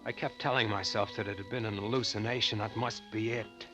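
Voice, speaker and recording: An actor playing an earnest treasure-hunting diver who tells his eerie adventure with dramatic, deliberate 1940s radio suspense delivery. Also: lowish voice, fairly even intonation, close to an everyday voice. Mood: grave, suspenseful. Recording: old radio broadcast with loud music.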